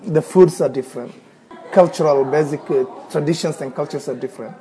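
A man in his thirties with a Somali accent speaks in a slightly high, mellow voice. He reads and talks at an unhurried, hesitant pace, often stopping to restart or repeat a word. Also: rough recording. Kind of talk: reading a passage aloud, then unscripted talk.

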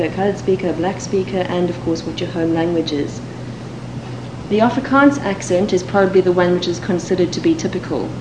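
A woman with a Cape Town South African accent, reading a set passage and then talking in a measured, thoughtful way with the odd um. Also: fairly low pitch. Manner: measured and thoughtful, with occasional ums. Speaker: dialect archive speaker. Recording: echoey room with steady background hiss.

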